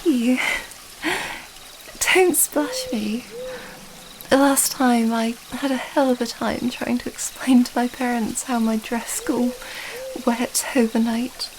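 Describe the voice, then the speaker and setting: A woman's voice: on the high side, takes it slowly, noisy background. A woman playing an affectionate young princess in love, speaking tenderly and hesitantly in a romantic fantasy ASMR roleplay. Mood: playful, caring.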